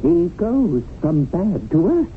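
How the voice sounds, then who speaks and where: An actor in a 1970s radio drama playing a rule-bound angelic supervisor, officious and accusing. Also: male voice, a little high, sing-song, slow. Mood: reproving. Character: stern, rule-bound male heavenly supervisor. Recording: tinny band-limited old radio broadcast, hissy.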